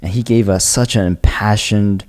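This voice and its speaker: A hoarse man co-hosting a podcast, laid-back and slangy, often agreeing with his co-host. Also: fairly low pitch, steady tone, moderate pace, darkish tone. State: hoarse from shouting. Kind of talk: casual podcast chat.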